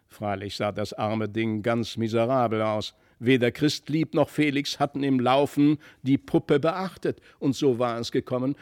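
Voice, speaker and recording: A man reads a literary story as a narrator. His voice sits in the middle range. The delivery is expressive and clearly scripted storytelling. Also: mid-range voice. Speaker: audiobook narrator.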